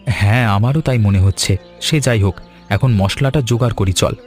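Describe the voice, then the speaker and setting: A man voicing an eager, low-voiced young village vendor. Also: normal speed, darkish tone, soft background music, natural voice. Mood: eager, friendly. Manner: earnest, polite, chatty. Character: eager young fish-fry seller, friendly village man. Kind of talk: animated story dialogue.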